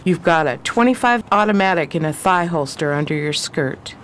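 A shrewd, steely adventuress played by a woman in a 1920s radio drama. She is commanding and slangy, with a somewhat low voice. The recording has faint background noise. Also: lively intonation, normal speed, fairly bright tone. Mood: cool, threatening.

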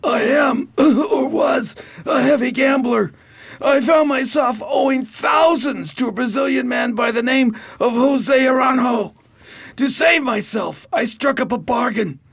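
A desperate, ailing gambler confessing his guilt in a radio play. His voice is very high for a man, gravelly and husky. He sounds strained and frantic throughout. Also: measured pace, exaggerated voice. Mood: anguished confession. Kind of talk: amateur radio drama acting.

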